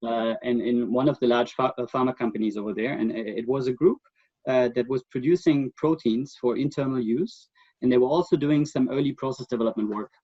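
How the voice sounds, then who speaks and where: A middle-aged man with a German accent speaks as the expert guest in a remote interview. He talks in a thoughtful, halting way, pausing on fillers and restarting his sentences. The audio is dull and close, with almost no room sound. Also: mid-range voice, dark tone, no room sound.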